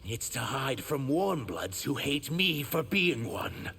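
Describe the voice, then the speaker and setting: An actor playing a proud, guarded reptilian shapeshifter warrior in human form for a fighting game. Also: male voice, medium pitch, big pitch swings, slow, vocal fry, sharp tone, slight background noise, heavily acted. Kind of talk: video game fight-intro dialogue.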